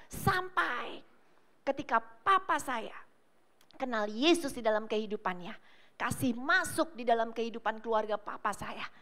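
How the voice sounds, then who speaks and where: Woman pastor, high-pitched, fervent sermon delivery, addresses the congregation directly throughout. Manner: emphatic preaching, often addressing listeners as brothers and sisters; swings between loud declarations and low, soft asides. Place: church service.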